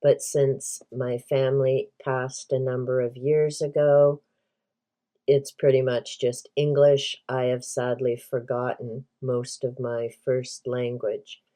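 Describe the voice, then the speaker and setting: A woman whose first language is Hungarian, hosting a book tag vlog in an earnest, measured way. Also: rumbling low, level tone, dark tone. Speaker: book channel host.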